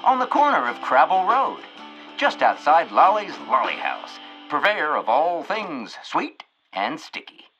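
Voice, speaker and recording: A showy small-town radio announcer. He punches out bulletins with a bright, forceful tone and sweeping pitch. Faint music sits underneath. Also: very animated, measured pace, lo-fi sound, exaggerated voice.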